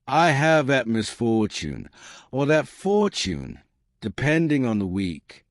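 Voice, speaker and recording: Man voicing a composed, dry-witted young gentlewoman. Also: medium pitch, wildly swooping pitch, dry recording, heavily acted. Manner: unhurried, matter-of-fact, dry. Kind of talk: audiobook character dialogue.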